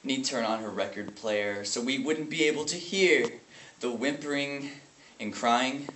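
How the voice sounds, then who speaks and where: A man performing a dramatic stage monologue as a grieving, traumatized brother, anguished and bitter, speaking in halting phrases with heavy pauses. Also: medium pitch, a bit bright, recorded from a distance, close to an everyday voice. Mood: bitter, haunted. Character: grieving, traumatized brother bitter about his abusive family. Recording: echoey room with faint noise.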